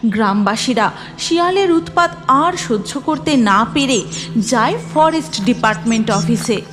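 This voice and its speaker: A woman's voice: a little high, lively intonation, a little slow. A woman narrating a scripted cartoon story. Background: music.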